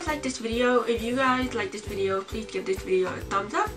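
A young woman of about eighteen with a slight cold, talking casually and haltingly to camera as she shows things in a room at home. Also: fairly high pitch. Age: young adult, about 18. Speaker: vlogger. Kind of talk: casual show-and-tell to camera. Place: small home room, indoors. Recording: distant mic, music behind.

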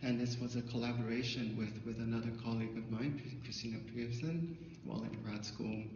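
A middle-aged man whose first language is Thai gives a symposium talk through a handheld mic, recorded from far back in a large hall. The sound is roomy with a long reverb tail. The audio is dull and muffled, with a faint hiss underneath, and he speaks haltingly, restarting often. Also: mid-range voice, steady tone, a little mellow. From Thailand. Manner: halting, many fillers and restarts. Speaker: artist presenter. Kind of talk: symposium talk, personal storytelling. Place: dim hall with projector screen. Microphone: handheld microphone, filmed from afar.

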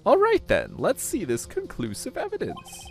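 A man's voice: high voice, huge pitch swings, normal speed, husky, soft background music, pitched above the performer's own voice, full character voice. A man voicing a flustered, solemn elderly judge in a courtroom video game, with theatrical exclamations. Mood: astonished, solemn. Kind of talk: voiced visual novel playthrough.

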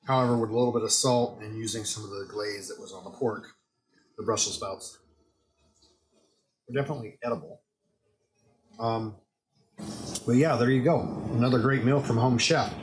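A man in his forties, host of a home show, chatting casually in his kitchen while tasting a meal, his voice relaxed and trailing off quietly at times. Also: mid-range voice, moderate pace, long pauses, a little hiss or hum, poor audio. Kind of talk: casual food review.

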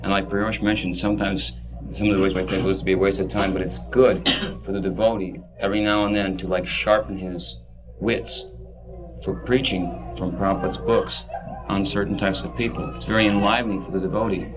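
A man's voice: low-pitched, flat delivery, a little fast, poor audio. A man casually explaining how to talk with travelers about books. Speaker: book distributor. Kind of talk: explanatory commentary.

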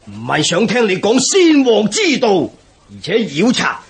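An actor playing a pompous, impatient army commander who scoffs, in a Cantonese historical radio drama with a Hong Kong accent. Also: male voice, high voice, huge pitch swings, measured pace, heavily acted. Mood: scornful, imperious.

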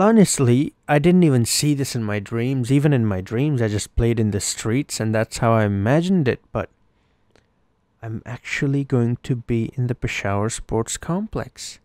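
A man playing an excitable, earnest young schoolboy cricketer who tells his story conversationally, with emphatic pauses, in a dramatised game story. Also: mid-range voice, no room sound, close to an everyday voice. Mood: excited, anxious. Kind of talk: first-person dramatised narration over game cutscenes.

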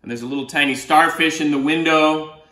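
A man's voice: a little high, steady tone, fairly quick, pushed and bright. A middle-aged man, a children's author, reading a picture book aloud expressively, with explanatory asides, in a living room. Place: small living room, indoors on a sofa. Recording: roomy living-room echo.

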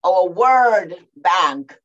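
An older Jamaican woman speaking as a panelist over a video call from her home study, deliberate and explanatory. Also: lowish voice, animated. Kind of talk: measured panel talk. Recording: dull lo-fi video call audio.

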